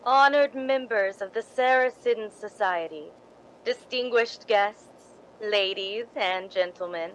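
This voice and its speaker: A woman playing a scheming, ambitious young stage actress in a radio drama, with deliberate theatrical delivery. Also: medium pitch, fairly bright tone, a little hiss or hum, poor audio. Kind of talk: 1950s radio drama acting.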